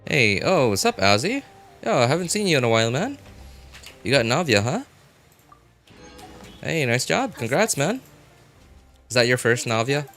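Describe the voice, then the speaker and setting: A man live streaming a game, chatting casually with viewers and thinking aloud in halting, informal bursts. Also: mid-range voice, sing-song, fairly bright tone. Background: music.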